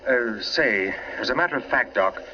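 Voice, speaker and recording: An actor playing a flustered young publishing man, halting and distracted, in 1940s radio drama. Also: medium pitch, expressive, close to an everyday voice. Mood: flustered, eager. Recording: muffled, noisy vintage radio broadcast.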